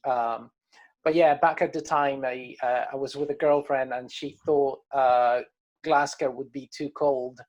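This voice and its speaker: Man, hesitant and halting. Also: medium pitch, fairly even intonation, a little mellow, highs cut off. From Caribbean coast of Colombia. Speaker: band musician being interviewed. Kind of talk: casual interview chat.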